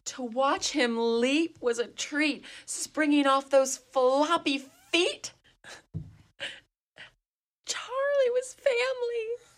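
A woman's voice: sits high, animated, very slow, a bit bright, full character voice. A woman playing a dignified, imperious wealthy mistress of an old mansion in a comedy radio play, with a grand, formal, theatrical way of talking. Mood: doting, grand.

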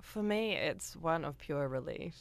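A woman's voice: low voice, expressive, unhurried. A woman composer talking thoughtfully in a radio interview, hesitating with frequent ums.

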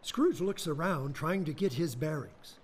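A man narrating a radio play in a measured, solemn way. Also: a little high, lively intonation, slight background noise. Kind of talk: scripted storybook narration.

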